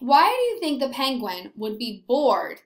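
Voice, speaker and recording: A young woman leading a lesson for small children. Her voice is high, with an animated, encouraging delivery and strong emphasis. The small room adds a clear echo. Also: unhurried. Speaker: teacher.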